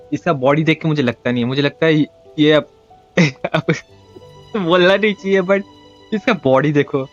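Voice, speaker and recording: Fast-talking Indian male game streamer. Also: on the high side, highs cut off. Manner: playful, repeats phrases for teasing effect. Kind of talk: casual live gameplay commentary, mixing Hindi and English. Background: game music.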